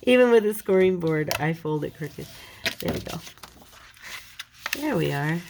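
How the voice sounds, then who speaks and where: A woman with a slight cold talks her way through a paper-folding project, chatty and quick to poke fun at her own mistakes. Her voice sits low with a gravelly edge, and a faint hiss runs behind her. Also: expressive, a little mellow. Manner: chatty, self-deprecating, talks while working. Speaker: paper crafter. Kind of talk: casual crafting tutorial.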